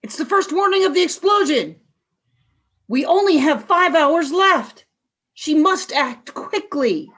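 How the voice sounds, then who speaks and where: A woman's voice: high-pitched, lively intonation, unhurried, a bit bright. A woman playing a cold, ruthless alien warrior leader in a sci-fi radio play, terse and commanding. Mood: urgent.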